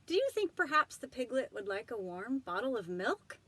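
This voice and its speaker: Woman performing kind younger sister in a children's book, eager, helpful, animated, raised pitch. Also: normal speed, slight background noise.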